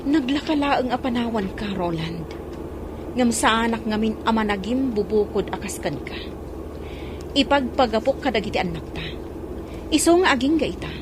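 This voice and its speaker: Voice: medium pitch, animated, normal speed, long pauses, noisy background, close to an everyday voice. A woman playing a jealous, reproachful, sarcastic wife and mother in an audio drama. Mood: bitter, jealous.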